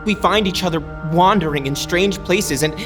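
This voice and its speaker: A man playing a restless, defiant young man in an audio drama, speaking in a halting, emotional way. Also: high voice, music in the background, natural voice. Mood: haunted.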